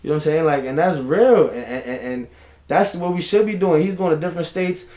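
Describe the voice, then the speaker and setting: A man's voice: a little high, brisk, darkish tone. A young man from Turkey, raised in Michigan and New York, talking as a podcast guest in slangy, rambling casual speech. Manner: slangy, rambling, goes on tangents, laughs often. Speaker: guest, hip hop artist. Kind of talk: casual interview chat. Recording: roomy room echo.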